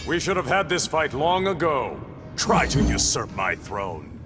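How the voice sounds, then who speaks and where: Proud ocean king for a fighting game: commanding, formal, animated pitch, over loud music. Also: a little slow. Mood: commanding, threatening. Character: proud, stern warrior king of an undersea kingdom. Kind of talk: video game voice acting.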